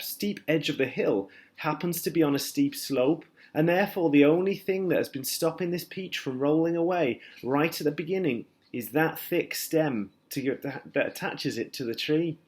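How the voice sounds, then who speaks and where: A man voicing a gentle, kind female ladybird in a children's storybook reading, with a raised, soothing, motherly voice. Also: a little high, moderate pace, hardly any pauses, pitched above the performer's own voice, close to an everyday voice.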